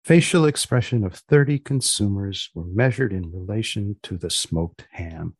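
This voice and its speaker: A man's voice: on the low side, animated, normal speed, slightly rough, dry recording. A man hosting a podcast in a dry, understated conversational way, prompting his guest with questions. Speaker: podcast host. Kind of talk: conversational interviewing.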